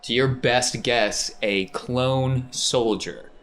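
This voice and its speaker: A man's voice: mid-range voice, drawn-out words, fairly bright tone. A man hosting and narrating a tabletop role-playing podcast as game master.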